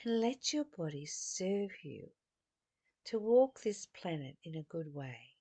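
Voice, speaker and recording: Female meditative teacher, very unhurried, close dry sound, affectionate direct address, short stressed phrases. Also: lowish voice, very animated, dark tone, no echo. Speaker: spiritual teacher. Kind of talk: spiritual teaching talk, intimate and meditative.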